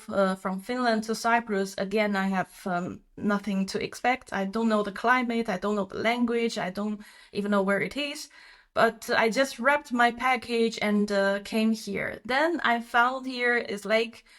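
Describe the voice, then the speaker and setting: A woman's voice: a little high, a bit bright. Chatty woman guest. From China. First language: Chinese. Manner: chatty, laughing, with frequent fillers. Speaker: guest, company co-founder. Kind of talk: casual interview.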